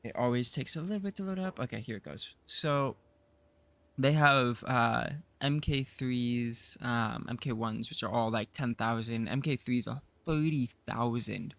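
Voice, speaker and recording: A man with a raspy voice, groggy from just waking up, casually chatting through a live gameplay stream and rambling with self-deprecating humor. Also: medium pitch, unhurried, a bit bright. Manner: rambling, self-deprecating, with frequent fillers and laughs. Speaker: game streamer.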